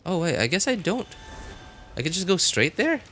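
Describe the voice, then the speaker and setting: A man giving casual live gameplay commentary, thinking aloud in a halting way with sarcastic asides. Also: sits high, sing-song, fairly bright tone, slight background noise. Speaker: game streamer.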